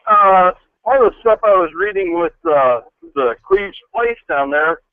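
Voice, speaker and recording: A man's voice: high-pitched, animated, measured pace, clean tone, no echo. A man calling in to a radio show, chatting casually over a muffled phone line.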